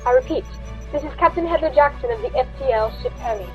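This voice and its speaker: A woman's voice: sits high, fast, highs cut off, faint music behind, lightly acted. A woman playing a formal starship captain sending an official hail in a sci-fi radio play. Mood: official, concerned. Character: formal female starship captain hailing over the comms. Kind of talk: science fiction radio play acting.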